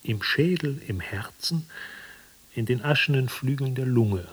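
A man narrating a literary radio drama in a breathy voice. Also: medium pitch, expressive, a little slow.

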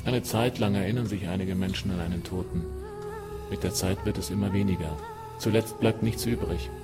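A man's voice: deep voice, fairly quick, music in the background, lightly acted. A man acting in a radio drama as a fatalistic, sick man who answers tersely and plainly. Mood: resigned. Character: fatalistic, resigned man living with a deadly virus.

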